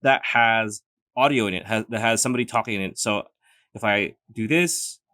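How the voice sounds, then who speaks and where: A man hosts a how-to in a fairly low voice with a crisp, bright edge. His delivery is casual and instructional, walking through steps and checking in as he goes. The sound is close and dry. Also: moderate pace, dry recording. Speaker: tutorial host. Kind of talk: casual step-by-step screen tutorial narration.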